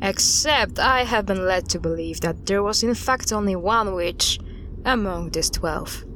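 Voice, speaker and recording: A woman's voice: medium pitch, measured pace, fairly bright tone, natural voice. Woman acting as guarded investigator over noisy ambience. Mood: measured, uneasy. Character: guarded woman investigator recounting an unsettling case. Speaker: voice actor in an audio drama. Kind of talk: audio drama dialogue, storytelling.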